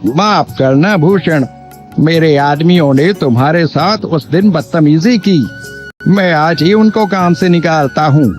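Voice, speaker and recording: A man's voice: a little high, measured pace, natural voice. A man playing a greedy, falsely humble village landlord in a cartoon moral story, with an oily, over-polite manner. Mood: feigned apology. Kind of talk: Hindi animated moral story acting. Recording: dull lo-fi sound, faint music bed.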